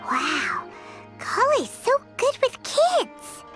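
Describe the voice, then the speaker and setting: Tiny fairy companion, video game, childlike, bright sharp voice, wildly animated, excitable. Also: female voice, extremely high pitch, slow, soft background music, exaggerated voice. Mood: admiring. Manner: refers to self in third person, exclamatory. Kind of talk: video game character voice acting.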